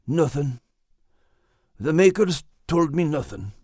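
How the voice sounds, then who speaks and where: A man voicing a stubborn male dog who speaks in a rough brogue and calls his friend "lass". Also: fairly high pitch, animated, slow, darkish tone, no room sound, studio quality, voice pushed up, full character voice. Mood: deflated. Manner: blunt, dialect words like "lass" and "me life".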